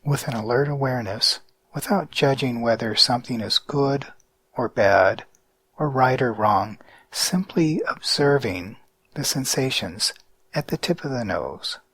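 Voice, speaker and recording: A man guiding a mindfulness meditation in a calm, measured way with deliberate pauses. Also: mid-range voice, breathy edge, no room sound. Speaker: meditation guide.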